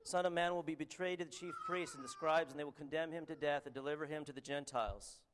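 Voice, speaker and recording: Canadian man preaching earnestly, halting delivery. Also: fairly high pitch, fairly even intonation, noisy background. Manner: earnest, halting, with frequent ums and restarts. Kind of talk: sermon with scripture reading.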